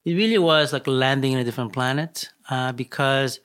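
A man from Korea, speaking English, telling his life story as an interview guest with many pauses and fillers. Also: mid-range voice, a bit bright, clear, resonant tone. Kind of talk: conversational storytelling.

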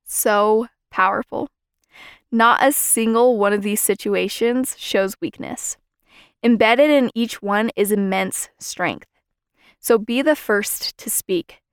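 A young woman hosting a podcast, giving an earnest, measured scripted talk on faith and belonging. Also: medium pitch, clean tone, dry recording, studio quality. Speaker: podcast host.

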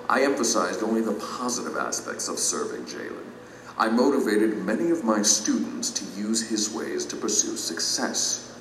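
An actor playing a humbled, regretful former teacher in an audio drama, speaking solemnly and confessionally. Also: male voice, on the low side, creaky, far from the mic, noisy background, exaggerated voice. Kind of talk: allegorical audio drama acting. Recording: echoey hall, music behind, off mic.

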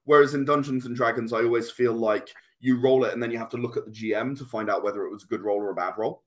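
British male guest, mid-pitched, conversational explainer, earnest, with hesitant restarts. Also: fairly even intonation, quick pace. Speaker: guest, tabletop RPG and comic creator.

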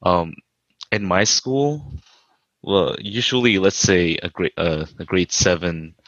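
A young man with a very deep voice talks as a podcast guest, his answers short and halting. The sound is dull and dry, a bit muffled and with no room echo. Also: fairly bright tone. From Philippines. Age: young adult. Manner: hesitant, short replies, laughs often. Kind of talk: casual chat.

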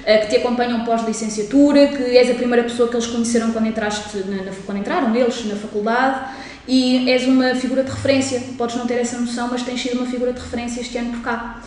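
A woman's voice: a little high, fairly even intonation, fast, a little mellow, a little hiss or hum. A woman, a psychologist hosting a podcast interview in a friendly way. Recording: echoey room.

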